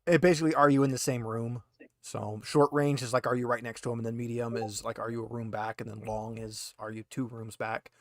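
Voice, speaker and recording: A man's voice: mid-range voice, flat delivery, a little fast, no echo, studio quality. A man talking casually as game master, explaining rules and narrating a tabletop game in an informal, slightly hesitant, patient way.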